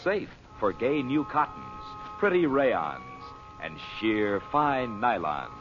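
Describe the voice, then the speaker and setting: A muffled vintage broadcast with music behind a male announcer. His medium-pitched voice moves with wide, animated inflection as he reads a script in 1940s radio style. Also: slow pace.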